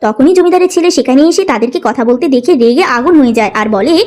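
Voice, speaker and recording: A woman's voice: sits high, lively intonation, fast, a little mellow. A woman reading scripted narration for a moral story as the storyteller.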